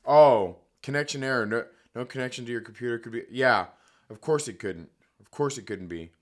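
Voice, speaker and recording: Man, hoarse, joking, profane live-stream chatter, bright tense tone. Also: medium pitch. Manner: profane, joking, rambling, reads chat aloud. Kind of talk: casual live stream chat.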